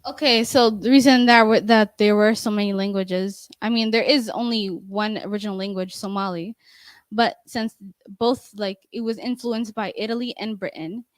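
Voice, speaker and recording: A young woman answers questions after her presentation, stopping and restarting often as she talks. She holds a microphone close, so her voice sounds dry, with no room echo. Her pitch sits in the middle. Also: steady tone. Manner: halting, many restarts and fillers. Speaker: student presenter. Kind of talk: class presentation and Q&A. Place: bright office-style meeting room. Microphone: handheld microphone.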